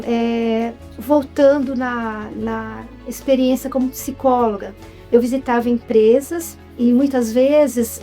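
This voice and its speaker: A woman's voice: a little high, warm tone. A woman from Brazil, a writer and former psychologist, telling her story in a casual interview with many hesitant fillers.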